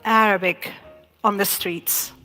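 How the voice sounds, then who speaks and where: A woman from Iraq telling her family's story in a talk, measured and reflective, pausing often. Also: medium pitch, fairly even intonation, bright, forceful tone. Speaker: speaker giving a talk. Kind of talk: slow personal storytelling talk.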